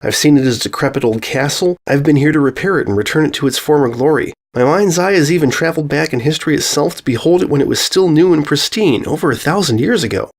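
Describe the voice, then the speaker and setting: A man reading a storybook tale as a young female pony, manic, cheerful and anxious, in an expressive, theatrical, self-questioning manner. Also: a little high, quick pace, few pauses. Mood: manic, wistful. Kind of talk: first-person dramatic storybook narration of fan fiction.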